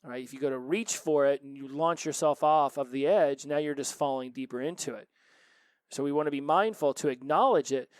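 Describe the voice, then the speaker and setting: A man's voice: medium pitch, dry recording. A man in his forties hosting a recovery podcast, giving an earnest solo motivational talk full of rhetorical questions. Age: 40s.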